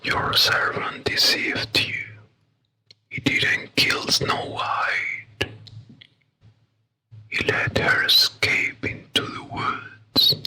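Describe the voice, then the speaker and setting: A woman voicing a servile, solemn magic mirror spirit in a breathy, echoing ASMR whisper. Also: bright, forceful tone, full character voice. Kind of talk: ASMR roleplay.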